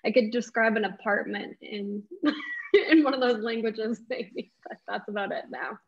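A woman's voice: a little high, measured pace. A woman in her late twenties answering interview questions conversationally over a video call from a room at home. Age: late 20s. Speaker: interview guest, lawyer. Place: small indoor room at home. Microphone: wireless earbuds on a video call. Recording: dull, lo-fi video-call audio.

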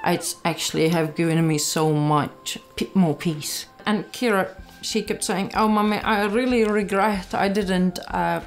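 A woman in her thirties with a Latvian accent, giving a candid, halting personal testimony. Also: fairly low pitch, fairly even intonation. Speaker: church member giving testimony. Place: small living room. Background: background music.

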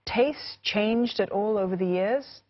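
A woman's voice: medium pitch, muffled recording. A woman news anchor asking guests questions in a TV studio. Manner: measured, questioning.

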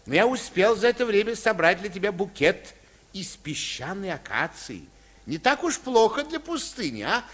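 A jovial adventurer captain from a vintage children's radio play. He talks playfully in a high voice with big theatrical swoops. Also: sing-song, moderate pace, fairly bright tone, heavily acted. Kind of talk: old Soviet children's radio play acting.

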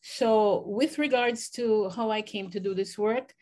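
A middle-aged woman with a Jamaican accent, speaking on a panel over a video call, telling her story in an animated way. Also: medium pitch, a little slow. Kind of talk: reflective personal account on a panel. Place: home room, indoors. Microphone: laptop webcam video call.

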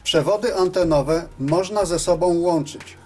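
Man, fairly high voice, very slow pace, matter-of-fact technical tutorial narration, music behind. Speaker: presenter of a technical CB radio tutorial. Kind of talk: scripted instructional narration of measurements.

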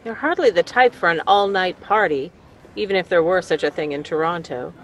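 Woman acting brisk, guarded Edwardian lady. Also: lowish voice, animated, lo-fi sound. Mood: worried. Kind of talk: period radio play acting. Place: at home on a video call.

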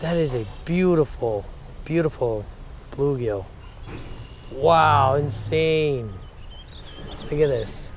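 Slow-paced man, slangy outdoor fishing vlogger. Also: fairly high pitch. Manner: slangy, exclamatory. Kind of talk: casual excited chat to camera while fishing. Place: outdoors beside a shallow river. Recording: outdoor action camera with background noise.

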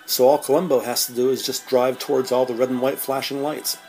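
A man narrating a detective audio drama in measured, descriptive present-tense storytelling. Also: medium pitch, flat delivery, darkish tone, poor audio. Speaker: podcast narrator. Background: music.

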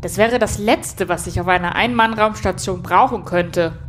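A woman's voice: medium pitch, normal speed, soft background music, close to an everyday voice. Woman playing a sardonic, irritable station captain. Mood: exasperated. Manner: blunt, sarcastic, exasperated. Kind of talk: scripted sci-fi audio drama.

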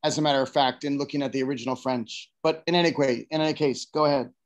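A man's voice: medium pitch, steady tone, fast talker. A man in an online study group talking loosely and correcting himself mid-sentence. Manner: rambling, self-correcting. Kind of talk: casual discussion.

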